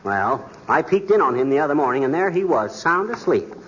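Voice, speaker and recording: A man's voice: fairly high pitch, very animated, fairly quick, a bit bright. A man acting a blustery, wisecracking husband in a 1940s radio comedy. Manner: blustery, wisecracking, hums while working. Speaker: sitcom lead actor. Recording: noisy muffled old radio broadcast.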